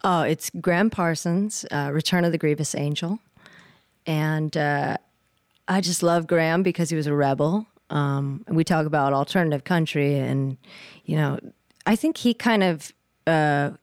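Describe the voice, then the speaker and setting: A woman's voice: low-pitched, normal speed, a little mellow, no room sound, studio quality. A woman singer being interviewed, chatting casually in an earnest, thoughtful way. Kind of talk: casual chat.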